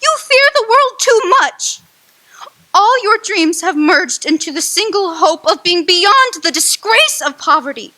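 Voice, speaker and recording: A woman playing a young woman who ends her engagement, grave, slow and emotional in radio drama acting. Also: very high voice, very animated, bright, forceful tone, full character voice. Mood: sorrowful, resolute.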